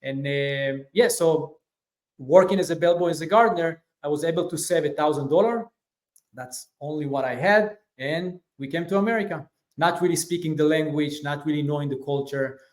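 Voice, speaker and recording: A man's voice: a little high, normal speed. Israeli man with an echoey room sound. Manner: relaxed, many fillers. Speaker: guest. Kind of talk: interview storytelling.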